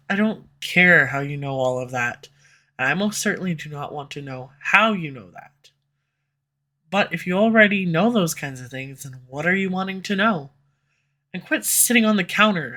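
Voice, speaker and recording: A woman's voice: on the low side, fairly bright tone. A woman voicing a sarcastic snarky villain. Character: snarky sharp-tongued villain, slightly tsundere. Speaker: audio roleplay voice actor. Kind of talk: scripted audio roleplay. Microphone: USB condenser mic.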